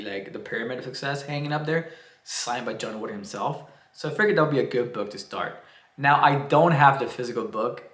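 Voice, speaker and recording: A man's voice: medium pitch, fairly bright tone. Chatty Cuban-accented man in an echoey room. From Cuba. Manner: chatty and rambling, with frequent uh and restarts. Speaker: book vlogger. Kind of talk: casual talk to camera. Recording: roomy echo.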